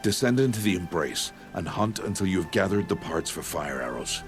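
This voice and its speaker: A stern outcast mentor in a game, his very low voice deep and grave. He speaks in solemn, terse lines over soft music. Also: sing-song, a little fast, gravelly, full character voice. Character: gruff middle-aged male outcast mentor, stern. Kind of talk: video game cutscene acting.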